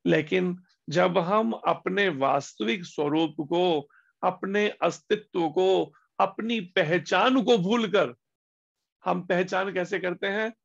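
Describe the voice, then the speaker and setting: A man giving a devotional discourse as a spiritual teacher, slowing down on key words and asking rhetorical questions. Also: sits high, creaky. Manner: slow, deliberate emphasis with pauses on key words. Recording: dull lo-fi recording.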